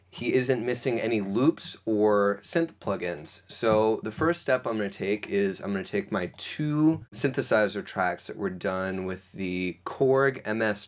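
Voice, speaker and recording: A man who is a DJ, walking through a software tutorial step by step in an instructive way. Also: on the low side.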